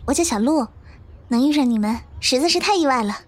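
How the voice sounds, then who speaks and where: A woman's voice: high voice, expressive, fast talker. A woman playing a sweet, needy young girl in an audio drama, in a cute, plaintive manner. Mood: friendly, surprised. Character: sweet, needy young girl devoted to her female companion. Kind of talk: Chinese audio drama acting. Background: music.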